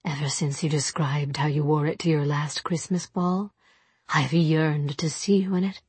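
A woman narrator plays a possessive young gentleman in an audiobook. The delivery is suave, soft and condescending, pitched fairly low with a husky grain. The sound is close and dry. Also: normal speed, no echo. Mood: intimate, admiring. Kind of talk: audiobook character dialogue.

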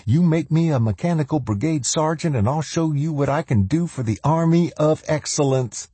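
A man's voice: fairly high pitch, normal speed, dark tone, slightly rough, dry recording, pitched above the performer's own voice. A man voicing a cocky, wheedling soldier and mechanic with an insolent manner and a streak of menace, in an audiobook. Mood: eager, boastful. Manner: insolent, wheedling, drawling tag questions. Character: cocky noseless wasteland soldier, eager male mechanic with menace.

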